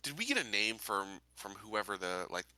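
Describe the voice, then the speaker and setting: A man playing a tabletop roleplaying game, talking casually and deliberately with frequent hesitant pauses. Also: on the low side, very animated, pushed and bright, dry recording. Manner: deliberate, hesitant, pausing often.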